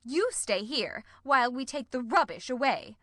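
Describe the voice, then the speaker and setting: A woman's voice: sits high, expressive, moderate pace, bright, forceful tone. A woman voicing a stern, prim middle-aged housekeeper who scolds with contempt in an audiobook. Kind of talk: audiobook character voice.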